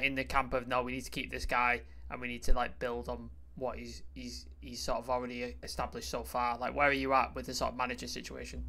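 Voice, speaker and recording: A man with a bright, forceful voice hosts a podcast in a loose, chatty way. He leans on fillers as he puts questions to his guest over a headset mic on a video call. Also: medium pitch. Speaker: podcast host. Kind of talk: casual chat, asking questions.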